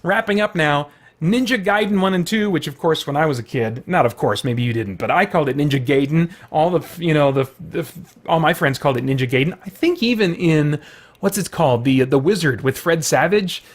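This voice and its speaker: A man's voice: a little high, animated, brisk. A middle-aged man hosting a video with casual, rambling, enthusiastic chat full of loose asides. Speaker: video host.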